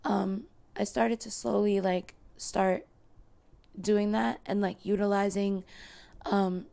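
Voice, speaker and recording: A young woman with a hoarse, failing voice, talking casually to her viewers with lots of fillers and restarts. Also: medium pitch, fairly even intonation, slow, warm tone. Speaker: content creator. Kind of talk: casual chat to camera.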